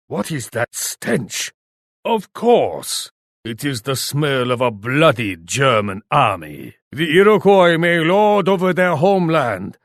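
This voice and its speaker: Imperious Japanese warlord, video game character, arrogant, commanding, highly animated intonation, dry close sound. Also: male voice, dry recording, heavily acted. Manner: haughty, commanding, speaks of himself in third person. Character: arrogant, imperious Japanese warlord who scorns allies and enemies. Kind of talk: video game villain-rival voice lines.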